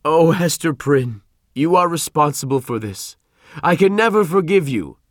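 A man's voice: on the low side, expressive, normal speed, no echo, clean studio sound. A man voicing a nervous, guilt-ridden preacher in a dramatized audiobook, with an anguished manner. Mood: anguished, angry.

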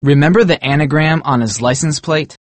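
Man playing an assured, husky young male sleuth. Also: fairly high pitch, a little fast, no echo, natural voice. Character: thoughtful, confident young man, amateur sleuth. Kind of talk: acted dialogue in audiobook story.

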